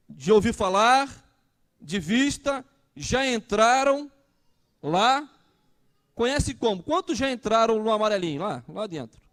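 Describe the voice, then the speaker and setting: A man, preaching a conversational sermon and asking the congregation questions. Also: high-pitched. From Brazil. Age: 30s. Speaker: pastor.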